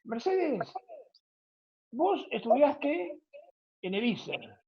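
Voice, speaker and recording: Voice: high voice, big pitch swings, very slow, highs cut off. An interviewer with an Argentine accent, hosting a conversational radio interview. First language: Spanish.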